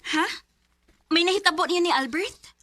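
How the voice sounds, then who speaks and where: A woman's voice: very high voice, moderate pace, fairly bright tone, dry recording. An actress with a Cebuano Filipino accent playing a shocked, sympathetic female friend in a radio drama. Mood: shocked, pitying. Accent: Filipino, Cebuano-speaking. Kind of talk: Bisaya radio drama acting.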